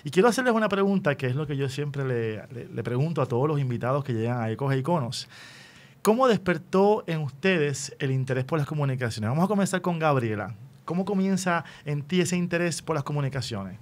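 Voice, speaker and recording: A man's voice: on the high side, expressive, a little fast. A man with a Puerto Rican accent, a radio host introducing a program and interviewing guests in a formal, welcoming way. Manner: formal, welcoming address to listeners.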